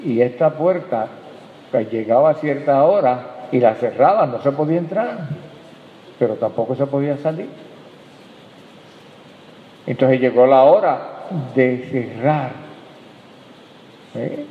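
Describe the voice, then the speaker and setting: A man preaching a sermon as pastor, rising emphatically and dropping soft as he addresses the congregation. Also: mid-range voice, expressive, slow pace, pauses often. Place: church hall. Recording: tinny, echoey hall recording.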